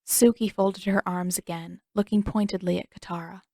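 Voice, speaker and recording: A woman narrates fiction as an audiobook reader. Her voice has a middling pitch and the sound is dry and close, with hardly any echo. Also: steady tone, normal speed, vocal fry, darkish tone. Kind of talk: scripted story narration.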